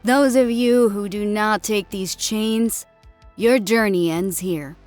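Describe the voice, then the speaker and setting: A woman's voice: medium pitch, slow pace, a little mellow, soft background music, natural voice. A woman voicing a proud, assuring female senior drill sergeant in an audiobook, addressing recruits in a formal military way. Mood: proud, earnest. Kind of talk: dramatic audiobook character acting.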